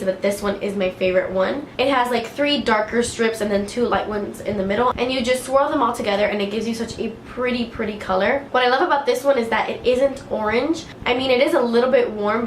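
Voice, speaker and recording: A woman's voice: medium pitch, a little hiss or hum. A woman with a head cold and a clogged nose, chatting casually about products she likes, with lots of filler words. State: congested with a cold. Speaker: beauty vlogger. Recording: echoey room, faint ambience.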